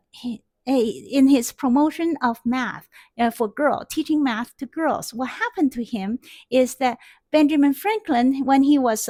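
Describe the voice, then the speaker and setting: A woman's voice: high-pitched, dry recording. A middle-aged woman math teacher in a classroom, telling a story in a chatty, rambling way with many fillers, on a headset mic. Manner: chatty, many fillers and restarts, animated gestures. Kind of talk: casual storytelling lecture. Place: classroom in front of a whiteboard.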